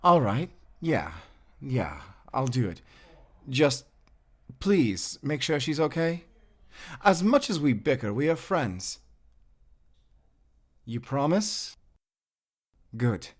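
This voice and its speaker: A friendly, earnest young-hearted tavern worker, played by a man in a fantasy audio drama. His casual, concerned delivery moves through a very lively range of pitch. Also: takes it slowly, long pauses. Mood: worried, earnest.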